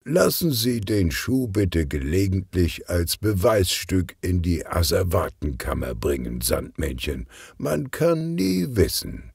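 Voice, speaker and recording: A man acts out a jovial older police detective from a children's audiobook. The voice is husky and lively, good-humoured and a little bumbling. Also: deep voice, slow, hardly any pauses, no room sound, clean studio sound, full character voice. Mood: sheepish.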